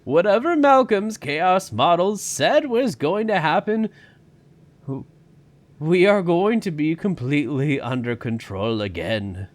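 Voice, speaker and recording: A man playing an older park founder grandly in denial, speaking deliberately and insistently in an audio drama, with music behind him. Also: on the high side, animated. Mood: stubbornly confident.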